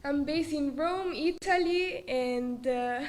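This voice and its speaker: A woman introducing herself at a gathering, excited and a little halting. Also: high voice, roomy sound. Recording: crowded noisy room.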